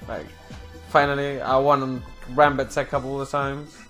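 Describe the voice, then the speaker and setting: A man's voice: mid-range voice, level tone, normal speed, fairly bright tone, faint music behind. A young man from Chile with a Chilean Spanish accent, chatting loosely in an interview about fighting games, full of "bro" and "you know". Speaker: fighting game player and commentator. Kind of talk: casual interview.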